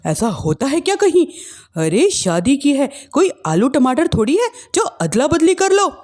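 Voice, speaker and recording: A woman's voice: high-pitched, big pitch swings, measured pace, darkish tone. A woman playing a protective, exclamatory middle-aged mother in a theatrical family story. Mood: indignant. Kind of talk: Hindi family story dramatization.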